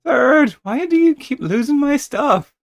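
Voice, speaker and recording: A brash, teasing tomboy adventurer from a game, played by a man, pitched up high. The delivery is excitable, mischievous and full of slang, in a dry, close sound. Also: measured pace, gravelly, no room sound, exaggerated voice. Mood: annoyed.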